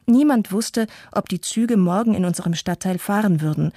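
A mellow, slightly husky female voice in a dry recording plays a shaken but resolute mother acted by a woman. She tells her testimony in a measured, sober radio play monologue. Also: mid-range voice, dry recording, natural voice. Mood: sober, grave.

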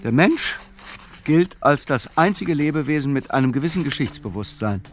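An actor playing a brooding, solitary man who obsessively recites facts and lists in a radio play, deliberate and fragmented with hesitations. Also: on the high side, lively intonation, noisy background, natural voice. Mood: sober reciting. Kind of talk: literary radio play reading.

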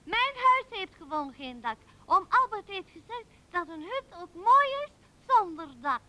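An imaginative small child in a 1970s radio drama. The voice is extremely high and bright, earnest and excitable in its storytelling. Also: female voice, a little slow, no echo, heavily acted. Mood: earnest pretending.

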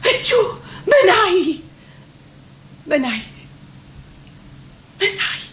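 A woman with a high voice performs a dramatic monologue in verse. Her accent is Roman, and her delivery is intense and theatrical, in the manner of stage recitation. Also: sing-song, drawn-out words. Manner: intense, theatrical, swinging from whispers to shouts.

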